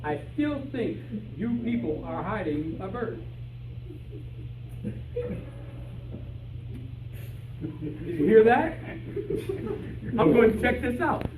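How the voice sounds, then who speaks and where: A man playing an excitable, childish, gullible amateur detective in old-time radio comedy style. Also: sits high, unhurried. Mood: indignant. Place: live rehearsal in a room with an audience. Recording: echoey, noisy room, distant mic.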